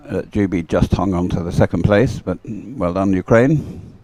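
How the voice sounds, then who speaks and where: A man's voice: low voice, normal speed, dark tone. A man giving casual, unscripted live sports commentary in a hesitant, musing way.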